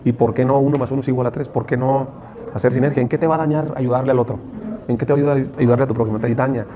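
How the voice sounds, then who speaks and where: A man's voice: mid-range voice, steady tone, rapid-fire, noisy background. A man with a Mexican accent in a conversational interview, explaining motivationally and emphatically with repeated rhetorical questions and lists. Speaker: interview guest. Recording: clear crowd chatter behind.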